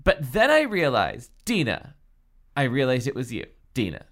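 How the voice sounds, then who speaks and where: A man's voice: mid-range voice, huge pitch swings, moderate pace, fairly bright tone. A man voicing a bitter, wronged young woman from a storybook with emphatic melodrama. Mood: emphatic, accusing.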